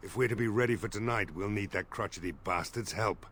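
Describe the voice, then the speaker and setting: An actor playing a weary, worried village mayor in a fantasy game, with game music behind him. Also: male voice, fairly low pitch, brisk, a bit bright, slightly rough. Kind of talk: video game voice acting.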